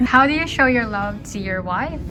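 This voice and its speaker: A woman's voice: on the high side, expressive, moderate pace, rough recording. A woman asking passers-by short questions in a casual street interview, with brief reactions.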